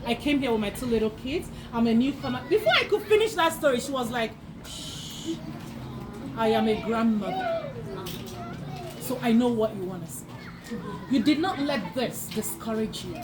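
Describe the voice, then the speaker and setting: A woman from Nigeria sharing her personal story as a bank employee at an outdoor networking event, animated and laughing often. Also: on the high side, moderate pace, long pauses. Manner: animated, laughing often, acts out quoted voices. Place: outdoor park. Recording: noisy outdoor recording.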